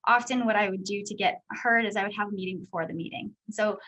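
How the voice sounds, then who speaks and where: Chatty woman with a high voice. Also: fairly even intonation, fast, bright, forceful tone, low-quality recording. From Canada. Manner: candid, chatty, many "like" and "um" fillers. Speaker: guest speaker, company executive. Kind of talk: casual interview answers.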